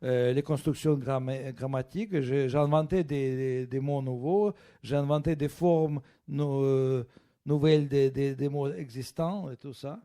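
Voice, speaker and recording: A man with a Russian accent, a guest author in an interview, speaking slowly and often stopping to search for French words. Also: medium pitch, steady tone, dark tone. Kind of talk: bookshop interview.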